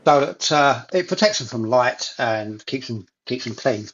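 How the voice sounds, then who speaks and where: A man's voice: mid-range voice, expressive, normal speed, a bit bright, highs cut off, a little hiss or hum. A man in a casual chat, talking enthusiastically and repeating phrases for emphasis.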